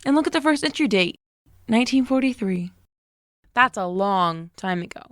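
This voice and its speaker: A woman's voice: on the high side, animated, moderate pace, no room sound, studio quality, lightly acted. A woman playing a curious, practical young girl in an audio drama, speaking in an inquisitive, matter-of-fact way. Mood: observant.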